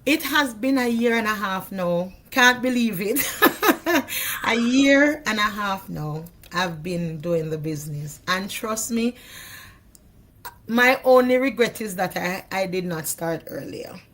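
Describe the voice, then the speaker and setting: A woman with a Jamaican accent talks in a hoarse voice on a video call. She is chatty and keeps checking in with the listener as she answers questions. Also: mid-range voice, animated, takes it slowly, a bit bright. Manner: chatty, repeats "you know what I mean". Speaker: interview guest. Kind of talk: casual interview chat.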